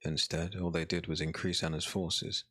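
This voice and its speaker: A man narrating a scripted dark fantasy audiobook in a grave, dramatic storytelling manner. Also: low voice, little pitch movement, moderate pace.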